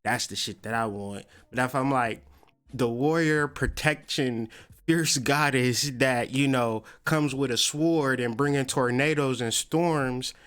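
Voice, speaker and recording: A man's voice: mid-range voice, measured pace, fairly bright tone, clean tone. An American man hosting a podcast, talking in a loose, slangy, stop-and-start monologue about spiritual ideas. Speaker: podcast host.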